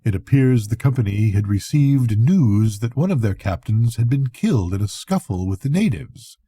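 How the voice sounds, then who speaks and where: Dark, husky older man reading a story. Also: lowish voice, expressive, moderate pace, no room sound, studio quality. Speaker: grandfather reading bedtime stories. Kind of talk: expressive literary audiobook reading.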